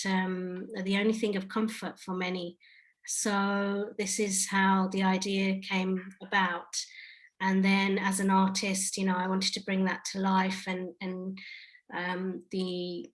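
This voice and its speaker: A woman from the United Kingdom answers webinar questions about her art project over a video call. She talks in a halting way, full of fillers and restarts, and her pitch stays level with little rise or fall. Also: low-quality recording. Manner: hesitant, many fillers and restarts. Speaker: artist and project lead.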